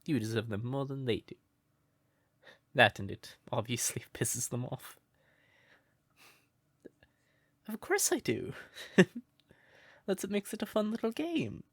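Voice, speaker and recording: A man playing a shy, sweet, curious crow turned human in a roleplay audio, speaking gently and breathily with hesitant stammers. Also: medium pitch, very animated, measured pace, no echo, clean studio sound, full character voice. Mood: playful, proud. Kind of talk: soft roleplay audio, intimate character acting.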